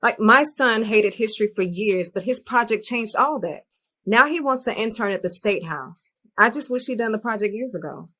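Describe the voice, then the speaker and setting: A woman's voice: fairly high pitch, animated, moderate pace, bright, forceful tone. An actress playing a determined, principled female teacher in a podcast drama. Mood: determined, urging.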